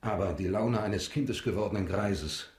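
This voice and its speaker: An actor in a German radio drama playing a stern, embittered, middle-aged patriarch and prosecutor, proud, rigid and formal. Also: male voice, on the low side, moderate pace, darkish tone, reverberant, close to an everyday voice. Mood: gloomy, subdued. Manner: formal, severe.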